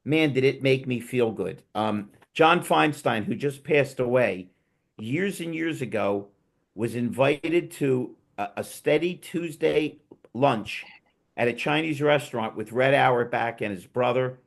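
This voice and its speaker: Male host, mid-pitched, gravelly, chatty storyteller on a remote call. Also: measured pace. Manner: rambling, enthusiastic storyteller with restarts. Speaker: podcast host. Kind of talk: casual panel chat.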